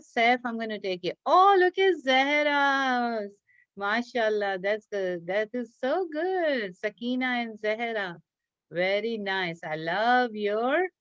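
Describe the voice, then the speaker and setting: A woman with a slightly high voice leads an online lesson for young children. She takes her time and encourages each pupil with praise. The sound is dull and lo-fi, like a video call. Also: fairly bright tone, no room sound. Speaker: children's religious school teacher leading a craft class.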